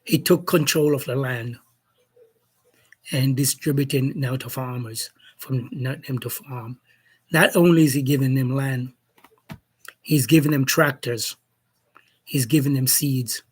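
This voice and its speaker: A man from Jamaica delivers a spoken opinion piece at a very unhurried pace. His voice is husky and a little rough. He builds his case deliberately and pushes pointed questions at the people he criticizes. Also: medium pitch. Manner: slow, deliberate, challenging questions. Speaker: commentator. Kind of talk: opinion monologue.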